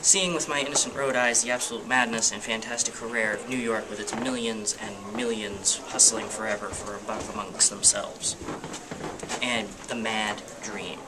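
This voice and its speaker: A man's voice: lowish voice, fairly even intonation, normal speed. A man, a student, giving an amateur dramatic reading of a novel in a plain, unpolished way with some stumbles. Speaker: student reader. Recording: distant mic with loud background noise.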